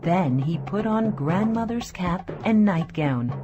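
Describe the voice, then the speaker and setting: A woman's voice: lowish voice, lively intonation, takes it slowly, muffled recording. A woman narrator reading a scripted fairy tale for children. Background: faint music.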